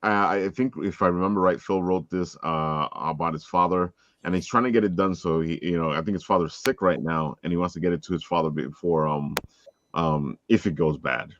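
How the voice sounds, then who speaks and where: A man's voice: low-pitched, fairly quick, no echo, lo-fi sound. A man with a strong voice hosting a casual live chat over a video call. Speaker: podcast host.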